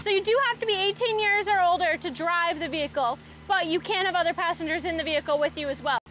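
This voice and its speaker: High-voiced, upbeat woman vlogging outdoors. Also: fast talker, fairly bright tone, slight background noise. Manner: upbeat, playful. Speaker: vlog host. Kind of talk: casual, chatty travel vlogging. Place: outdoors, mostly on a boat on a lake.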